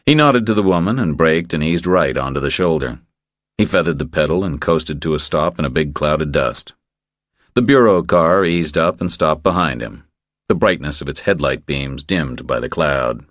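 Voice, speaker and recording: Very deep-voiced male audiobook narrator. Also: lively intonation, moderate pace, no echo, clean studio sound. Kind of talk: scripted thriller narration.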